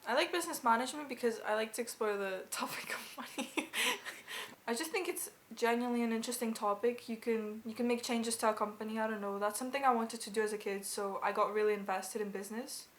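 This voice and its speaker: A seventeen-year-old woman talks with enthusiasm and a chatty ease. Her voice sits slightly high. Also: quick pace. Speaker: school leaver interviewee.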